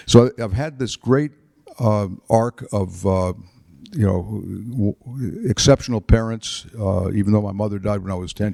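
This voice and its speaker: A man in his seventies, a retired politician and author, tells stories about his life to an audience in a chapel, halting with fillers and restarts. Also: lowish voice, gravelly, warm tone, no room sound, studio quality. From United States. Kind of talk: relaxed storytelling talk.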